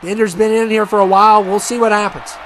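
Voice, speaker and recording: A man casually commentating a wrestling video game match, rambling and chatty with many fillers, and he says he has a loud voice. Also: sits high, level tone, fast talker, a little hiss or hum. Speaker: gaming commentator.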